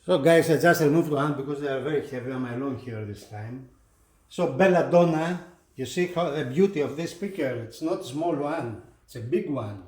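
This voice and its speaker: A man who is not a native English speaker presents a product unboxing casually, speaking in short, halting phrases. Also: on the high side, a little mellow. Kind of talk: casual unboxing demonstration talk.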